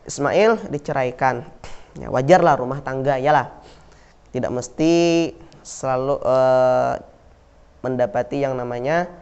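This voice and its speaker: A man's voice: medium pitch, smooth. A man with an Indonesian accent, teaching a class on Islamic history, who repeats key words and asks and answers his own questions, with frequent fillers like "nah". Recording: dull lo-fi recording.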